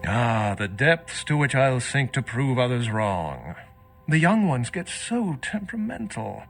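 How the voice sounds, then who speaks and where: An actor playing a wry, worldly old vampire scholar in a video game, speaking in an erudite, measured and sardonic way. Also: male voice, mid-range voice, big pitch swings, vocal fry, fairly bright tone, soft background music, heavily acted. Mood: sardonic amusement.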